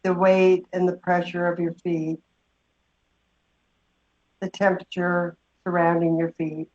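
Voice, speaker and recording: A woman leading a guided meditation, giving slow, gentle instructions with long pauses. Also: lowish voice, flat delivery. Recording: dull, muffled lo-fi sound.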